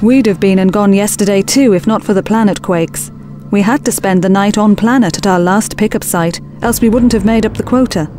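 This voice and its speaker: A woman's voice: mid-range voice, a little fast, dark tone, natural voice. A woman playing a practical, skeptical starship officer. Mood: firm, skeptical. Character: skeptical, practical female spaceship expedition officer. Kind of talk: scripted science fiction audio drama acting. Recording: music bed under the dialogue.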